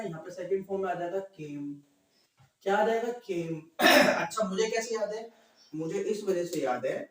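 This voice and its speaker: Hoarse man teaching in an echoey room. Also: high voice. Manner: repeats questions and answers, drills students. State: sore throat. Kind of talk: classroom grammar lesson. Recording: very echoey room, distant mic.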